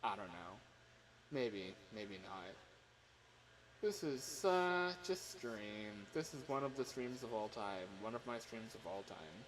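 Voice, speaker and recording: A man's voice: fairly low pitch, expressive, slow pace, a little hiss or hum, rough recording. A man livestreaming in a casual, rambling way, sounding exasperated and self-mocking, and swearing freely. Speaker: livestreamer recording voice lines.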